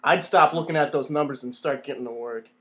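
An actor playing a demanding, imperious wealthy admiral who owns a station in a 1930s-style radio play. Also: male voice, medium pitch, a little fast, close to an everyday voice. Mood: stern, commanding.

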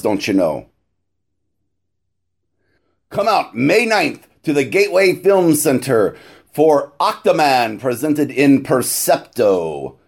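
A man telling scripted jokes and announcing in a showy, theatrical horror-host manner. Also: fairly high pitch. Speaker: horror-host comedian with a monthly joke segment.

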